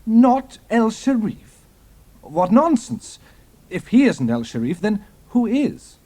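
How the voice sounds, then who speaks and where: A man's voice: high-pitched, sing-song, takes it slowly, dark tone, exaggerated voice. An actor playing a haughty male spy posing as a military officer in an audio drama. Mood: haughty, indignant. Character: haughty German spy posing as a British major.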